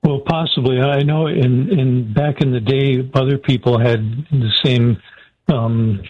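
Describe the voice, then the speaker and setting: An older man calling a radio show, explaining himself earnestly in a casual, halting way over a phone. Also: medium pitch, fairly even intonation. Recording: dull lo-fi phone call.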